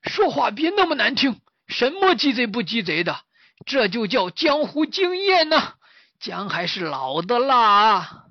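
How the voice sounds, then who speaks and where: A storyteller voicing a hungry, boastful old martial arts master in a children's story, with a high, strained voice. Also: sing-song, unhurried, no echo, muffled recording.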